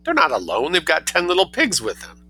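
A man's voice: on the high side, huge pitch swings, fairly quick, pushed and bright, pitched above the performer's own voice, heavily acted. A man doing a cheeky, scheming little boy. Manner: cheeky, matter-of-fact. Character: cunning angelic-seeming little boy.

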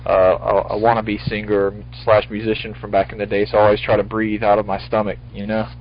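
A man's voice: lowish voice, monotone, fast talker. A man hosting a casual call-in radio show, rambling and full-voiced, with many restarts. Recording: lo-fi radio stream with faint hiss.

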